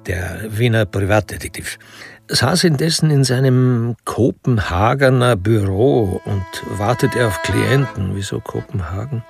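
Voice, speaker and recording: A wry storyteller in a radio crime drama. His mid-pitched male voice is gravelly and deliberate, dryly ironic, with music playing clearly underneath. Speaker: narrator. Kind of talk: scripted narration.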